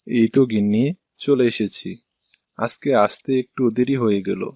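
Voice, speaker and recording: A man's voice: fairly low pitch, normal speed, gravelly, darkish tone. A man voicing an ice cream seller and husband in a cartoon story. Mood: earnest, patient. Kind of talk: Bengali cartoon dialogue.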